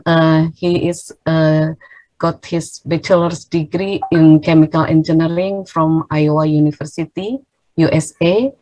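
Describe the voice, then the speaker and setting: A woman's voice: low-pitched, warm tone, no room sound, low-quality recording. A woman moderating a webinar over a video call, introducing a speaker hesitantly with many fillers. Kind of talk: formal introduction.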